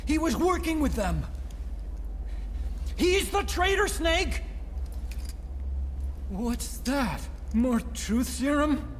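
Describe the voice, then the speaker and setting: A man's voice: extremely high pitch, very animated, very slow, pauses often, slight background noise, exaggerated voice. An actor playing a frightened, defensive male scientist under interrogation, whiny and pleading, in a dramatic video game. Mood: desperate pleading.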